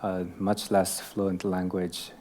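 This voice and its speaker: A woman hosts a relaxed interview podcast, gently prompting her guests. Her voice is extremely low for a woman, with a dark, mellow tone. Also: slow pace, a little hiss or hum. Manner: encouraging, prompting questions. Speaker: podcast host. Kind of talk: casual interview.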